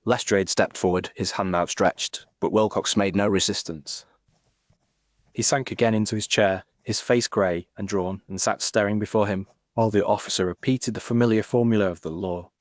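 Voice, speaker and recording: An actor with a British accent playing a reflective Victorian gentleman doctor, earnest and formal, in a dramatised story. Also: lowish voice, steady tone, dry recording, studio quality, close to an everyday voice. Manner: formal, measured literary phrasing. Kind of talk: first-person dramatised narration.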